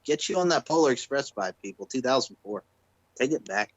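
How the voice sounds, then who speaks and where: A man's voice: medium pitch, expressive, a little fast, no room sound, lo-fi sound. Laid-back American man, dry close mic. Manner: laid-back, casual swearing, rattles off film trivia. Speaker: podcast co-host. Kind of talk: casual podcast chat.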